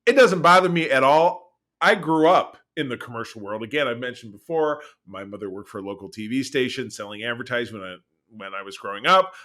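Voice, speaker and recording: Bright, forceful man chatting on a podcast. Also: mid-range voice. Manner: animated, emphatic, repeats phrases. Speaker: podcast co-host. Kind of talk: casual opinionated podcast chat.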